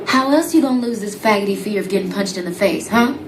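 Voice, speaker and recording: A woman's voice: mid-range voice, lively intonation, quick pace, noisy background, natural voice. A woman playing a brash, foul-mouthed assassin mentor who talks in commanding, taunting slang in a motion comic. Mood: brash, goading. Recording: roomy sound over clear music.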